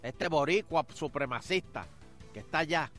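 A Puerto Rican man hosting a radio show, animated and jokey, throwing in quick interjections. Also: fairly high pitch, soft background music. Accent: Puerto Rican Spanish. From Puerto Rico. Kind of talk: casual radio banter.

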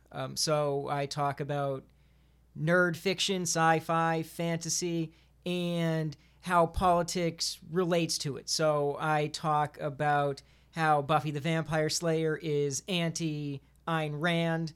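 A man's voice: a little high, flat delivery, slow pace, smooth. A man speaking casually as a podcast guest, an academic explaining his opinions in informal chat.

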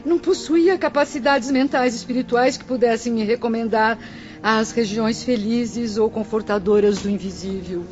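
Lady acting sad dead old mother in a radio play, mournful n slow, muffled sound w faint music. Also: on the high side, steady tone, a little mellow, close to an everyday voice. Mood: tormented. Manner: mournful, drawn-out, solemn. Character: sorrowful tormented spirit of an old mother. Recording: dull radio drama recording with music.

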